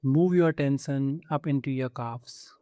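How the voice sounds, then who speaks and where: A man leading a guided meditation with soothing, gentle instruction and measured pauses between phrases. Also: mid-range voice, clear, resonant tone, no room sound. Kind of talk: guided body scan meditation.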